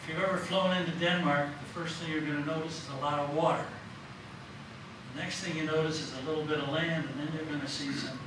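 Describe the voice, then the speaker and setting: A man giving an informal panel talk in a loud, plain, matter-of-fact voice. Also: fairly high pitch, steady tone, quick pace. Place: lecture room. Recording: echoey room from a distant mic, noisy.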